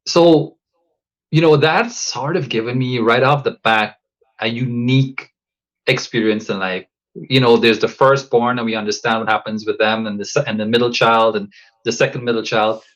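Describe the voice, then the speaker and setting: A man from Guyana speaks at a middle pitch in a reflective podcast interview. He talks in a measured, thoughtful way and often restarts his sentences. The audio sounds slightly dull and lo-fi. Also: steady tone, fairly bright tone. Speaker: podcast guest, coach.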